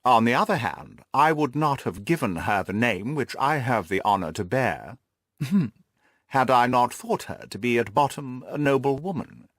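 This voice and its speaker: A man playing a haughty, reserved aristocratic lord with a pompous, measured way of talking in an audiobook. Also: medium pitch, expressive, a bit bright, no echo, clean studio sound. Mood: proud, deliberate. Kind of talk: audiobook character dialogue.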